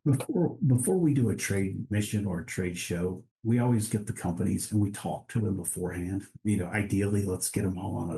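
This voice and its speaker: A man, a guest on an interview podcast, talking in a relaxed way with frequent restarts and easy laughs. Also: low-pitched, brisk, vocal fry, hardly any pauses, rough recording. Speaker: guest, international trade director. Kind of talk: casual interview conversation.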